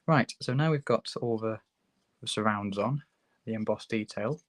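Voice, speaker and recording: A man with a hoarse sore throat, hosting a relaxed, chatty livestream tutorial while building a model kit at his workbench. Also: lowish voice, normal speed, very noisy. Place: hobby workbench, filmed from overhead.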